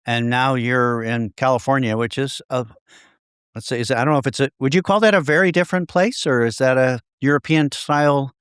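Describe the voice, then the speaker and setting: A man's voice: medium pitch, a little fast, a bit bright, clear, resonant tone, no room sound, clean studio sound. A man hosting a podcast, upbeat and conversational as he introduces and questions his guest. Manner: upbeat, conversational, some restarts. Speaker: podcast host. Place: home in Dallas.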